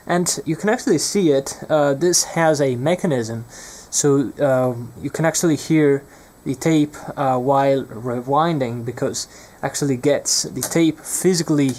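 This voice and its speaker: A man's voice: on the high side, a little mellow. A young man presenting an unscripted hands-on electronics demonstration, speaking hesitantly and searching for his words.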